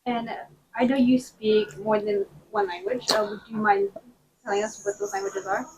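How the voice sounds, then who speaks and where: A woman interviewer asking questions in a casual, slightly hesitant, halting way. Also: mid-range voice, fairly even intonation. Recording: dull lo-fi sound with faint noise.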